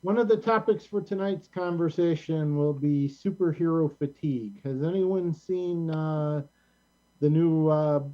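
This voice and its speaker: A middle-aged American man hosting a casual show in a rambling, halting way. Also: fairly high pitch, muffled recording, slight background noise. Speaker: host of a community show.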